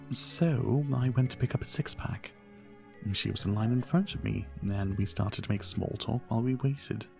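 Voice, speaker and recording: A man voicing a charming, flirtatious vampire bartender in an ASMR roleplay, speaking in a soft, courteous, teasing and unhurried way. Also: on the low side, creaky. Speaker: roleplay audio performer. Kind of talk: ASMR roleplay, addressing the listener.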